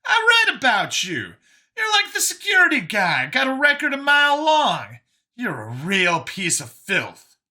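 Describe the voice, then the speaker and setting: A man's voice: very high voice, huge pitch swings, measured pace, bright, forceful tone, pitched above the performer's own voice, full character voice. A man voicing a hostile, indignant man in a story, ranting accusingly and emphatically. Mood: contemptuous anger. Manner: agitated, emphatic, foul-mouthed ranting.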